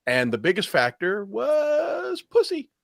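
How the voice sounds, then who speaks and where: A man's voice: sits high, huge pitch swings, takes it slowly, creaky, no echo, studio quality. A man co-hosting a talk show with casual, conversational commentary. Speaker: show co-host.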